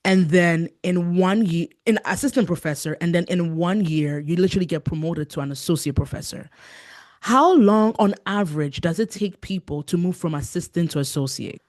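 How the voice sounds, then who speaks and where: A woman hosting an interview, encouraging and quick to affirm her guest. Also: lowish voice, a little mellow. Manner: encouraging, frequent affirming murmurs. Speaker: podcast host. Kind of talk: interview conversation.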